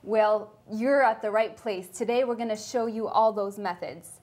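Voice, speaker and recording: A woman's voice: medium pitch, moderate pace, darkish tone. A young woman from California, a dietetics student, upbeat and talking straight to camera as she presents a cooking segment in a studio kitchen. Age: young adult.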